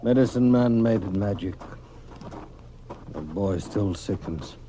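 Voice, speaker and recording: Stern proud chief in old radio western, clipped formal broken english, low voice, bit noisy recording. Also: male voice, animated, slow pace. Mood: grave, insistent.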